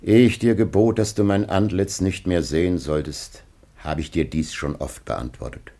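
An older man acting in a radio drama as a grieving, solemn old patriarch facing death, husky and gravely declamatory. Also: low voice, fairly even intonation, moderate pace, mellow. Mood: pained pleading.

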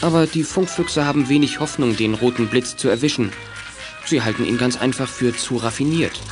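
A man's voice: medium pitch, a little fast. Male radio-play narrator over music. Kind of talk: scripted storytelling narration for children's radio play.

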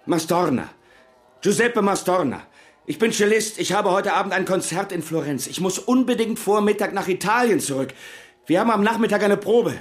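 Flustered, higher-voiced male traveller. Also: expressive, normal speed. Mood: urgent. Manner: insistent, flustered. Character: agitated, indignant male cellist, a stranded traveller. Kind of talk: radio drama dialogue.

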